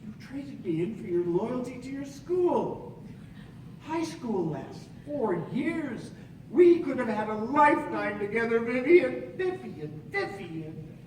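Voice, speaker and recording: An actor playing a lovelorn, bitter former basketball coach in a 1940s-style stage romance, dramatic and halting. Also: male voice, extremely high pitch, sing-song, a little mellow, exaggerated voice. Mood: pleading, aggrieved. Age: middle-aged. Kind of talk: live stage radio play, 1940s film romance parody. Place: theatre stage, live performance. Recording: echoey, distant stage recording with noise.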